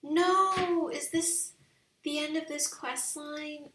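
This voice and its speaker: A young woman giving casual, slangy let's play commentary on a video game in an excitable, gushing way. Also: high voice, takes it slowly, echoey room, far from the mic, a little hiss or hum. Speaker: video game streamer and channel host.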